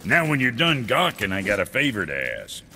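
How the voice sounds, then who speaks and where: Gravelly, brusque dwarf blacksmith. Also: male voice, medium pitch, wildly swooping pitch, moderate pace, pushed and bright, a little hiss or hum, heavily acted. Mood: wry, casual. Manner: offhand, wry. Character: brusque male dwarf blacksmith, casually asking favours. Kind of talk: video game character dialogue.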